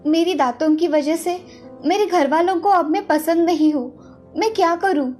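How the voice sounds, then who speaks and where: A woman's voice: very high voice, moderate pace, darkish tone, soft background music. A woman voicing a meek, anxious young daughter-in-law who speaks deferentially in a storybook tale. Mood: distressed. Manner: pleading, deferential.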